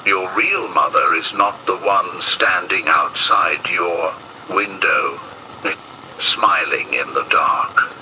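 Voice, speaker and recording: A man playing a grave emergency broadcast announcer in an analog horror piece, solemn and measured, with an ominous delivery. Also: low-pitched, pushed and bright, slight background noise, heavily acted, rough recording.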